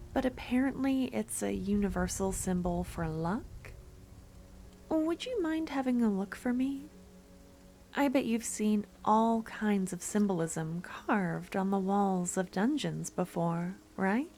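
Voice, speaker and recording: A woman voicing a jealous female merchant in a scripted ASMR roleplay, speaking softly and sweetly, with a possessive streak. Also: medium pitch. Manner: soft-spoken, sweetly teasing, turning possessive.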